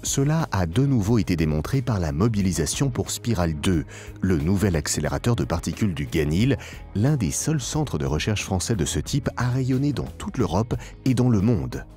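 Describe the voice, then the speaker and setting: A man with a low voice narrates a polished institutional film. His intonation moves widely while the delivery stays formal and measured, stressing key phrases. Light music plays behind him. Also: sing-song, dark tone. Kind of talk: scripted promotional documentary narration. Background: background music.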